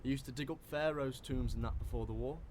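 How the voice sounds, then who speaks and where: A man's voice: mid-range voice, fast talker, noisy background, natural voice. A man playing a resourceful young trench soldier, chatty and wry, in an audio drama. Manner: chatty, wry, plain-spoken.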